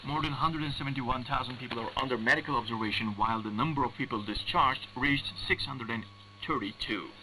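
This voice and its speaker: A man reading formal scripted news as a radio newsreader, in a measured, deliberate way, over shortwave radio. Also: mid-range voice. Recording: noisy humming shortwave radio broadcast.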